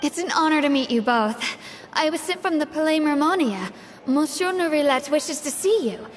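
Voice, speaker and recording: A woman's voice: sits high, animated, moderate pace, a little hiss or hum. A woman voicing a polite, businesslike messenger in a video game, with formal phrasing.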